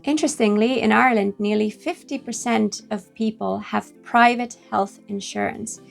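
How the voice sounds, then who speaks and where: A woman's voice: medium pitch, creaky. Woman explaining, music behind. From Finland. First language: Finnish. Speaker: host of an expat advice video. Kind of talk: scripted informational explainer.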